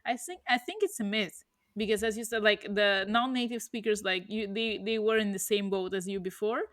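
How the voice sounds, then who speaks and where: A woman's voice: mid-range voice, normal speed, fairly bright tone, no echo, clean studio sound. A woman in her thirties, a native Russian speaker, musing casually as a podcast co-host, with many fillers and restarts. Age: 30s. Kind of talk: casual chat.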